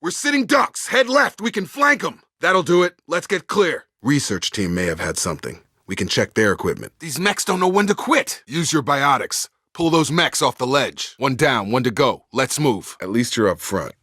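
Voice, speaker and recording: An actor playing a loyal, earnest young space soldier in a video game, with a straight-talking military manner. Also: male voice, mid-range voice, big pitch swings, normal speed, few pauses, sharp tone, no echo, clean studio sound, exaggerated voice. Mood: urgent combat barking. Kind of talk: video game character dialogue.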